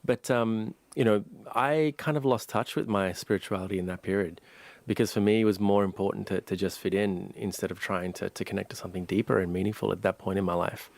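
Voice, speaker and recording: A man from Sri Lanka, a meditation teacher, telling his story in an interview, calm and thoughtful with frequent pauses and restarts. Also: fairly low pitch, vocal fry, no room sound.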